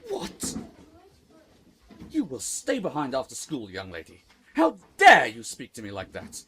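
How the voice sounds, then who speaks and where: Fussy male schoolteacher with swooping pitch. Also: huge pitch swings, unhurried, sharp tone, husky, exaggerated voice. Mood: outraged. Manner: pedantic, condescending. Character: stern, fussy, easily outraged male schoolteacher. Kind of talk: full-cast audio drama acting.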